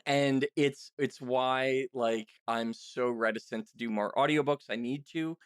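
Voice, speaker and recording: A man's voice: medium pitch, fairly even intonation, a little slow, clean tone, no room sound, clean studio sound. A man hosting a casual interview, talking candidly and self-deprecatingly with rambling asides. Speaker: podcast host and audio drama writer.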